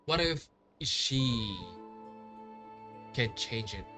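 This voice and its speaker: A man comments casually on a game he is playing. He talks slowly in a mid-range voice, half muttering to himself, with game music plainly audible behind him. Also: mid-range voice, animated. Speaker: gamer playing through a story quest.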